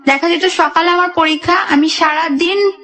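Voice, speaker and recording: A woman's voice: very high voice, fairly even intonation, normal speed, bright, forceful tone. A young woman with a Bangladeshi accent tells her life story in a radio interview, narrating in detail and re-enacting conversations. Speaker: guest telling own life story.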